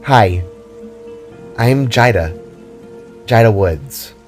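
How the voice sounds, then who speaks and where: A man voicing a goofy, excitable, chatty young girl adventurer with playful, rambling speech in an audio drama. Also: on the low side, extremely slow, soft background music. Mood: playful, friendly. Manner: playful, sarcastic, rambling with false starts.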